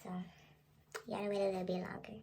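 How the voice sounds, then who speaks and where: A woman's voice: mid-range voice, monotone, quick pace, creaky, mellow, slight background noise. A young woman vlogger talking casually in a playful, slangy way, as in an ASMR role play.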